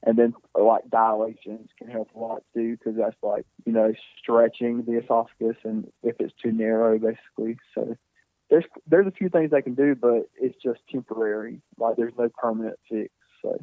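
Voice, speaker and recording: A man in his twenties, a singer being interviewed, talking casually and softly with many fillers and restarts. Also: medium pitch, fairly even intonation, normal speed, no echo. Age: 20s. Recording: tinny phone-like sound, dry.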